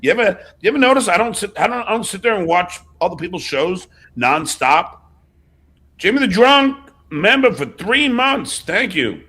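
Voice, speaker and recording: A man's voice: sits high, big pitch swings, normal speed, sharp tone, breathy edge. A man hosting a livestream in casual chat, blunt and often swearing. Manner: profane, blunt. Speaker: host of a livestream show.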